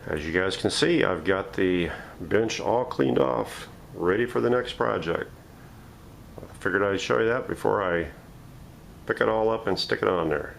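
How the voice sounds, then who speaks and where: Low, gravelly man showing his workshop project. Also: lively intonation, normal speed, a little hiss or hum. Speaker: host of a vintage radio restoration channel. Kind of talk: casual hands-on workshop vlog, unscripted show-and-tell. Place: home living room and workshop, indoors.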